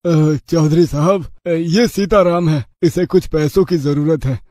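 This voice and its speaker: A man acts a sly landlord's servant in a village cartoon, coaxing and consoling with fake sympathy. His voice is soft-edged, very mellow and a bit high, in a dry recording. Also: measured pace, no room sound, lightly acted. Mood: obsequious. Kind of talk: Hindi cartoon moral story dialogue.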